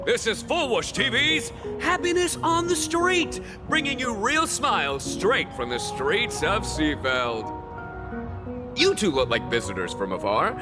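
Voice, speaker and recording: An actor playing a relentlessly upbeat male TV street reporter in a video game, with a showy, gushing broadcast delivery. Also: high voice. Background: background music.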